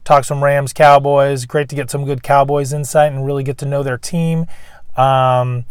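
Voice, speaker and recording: A man with a cold hosting a casual sports fan video, chatty with lots of fillers and throat clearing. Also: mid-range voice, level tone, moderate pace, dry recording, studio quality. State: losing his voice, getting sick. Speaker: YouTube fan channel host. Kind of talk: casual sports preview vlog.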